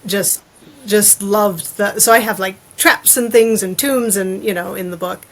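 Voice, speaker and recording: A woman's voice: medium pitch, unhurried. A woman talking casually as a podcast guest, informal and given to loose run-on asides. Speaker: interview guest, adventure fiction author.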